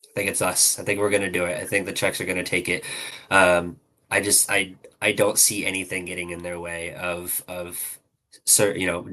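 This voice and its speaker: A man's voice: deep voice, level tone, normal speed, bright, forceful tone. A man giving earnest hockey analysis as a podcast guest, hedging often and talking over a video call. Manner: earnest, hedges often with "I think".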